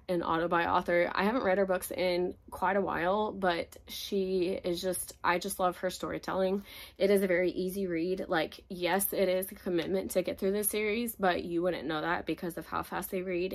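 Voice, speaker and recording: A young woman, chatting casually about books she loves, her voice cracking as she recovers from being sick. She talks in a gushing way, with lots of fillers. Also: on the low side. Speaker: book reviewer. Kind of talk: casual book recommendation vlog. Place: home room with bookshelves, indoors.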